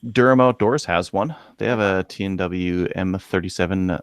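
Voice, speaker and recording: A man's voice: deep voice, moderate pace, clear, resonant tone, no echo. A man hosting a podcast in a casual, offhand way with dry joking. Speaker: podcast host. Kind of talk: casual banter and read-out segments.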